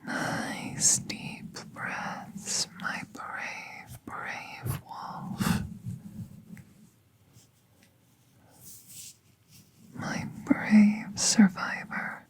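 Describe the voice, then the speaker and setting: A woman playing a gentle, playful fae veterinarian in a soft, soothing ASMR roleplay, with breathy, whispery speech and formal wording. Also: extremely slow. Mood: tender, soothing. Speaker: audio roleplay performer.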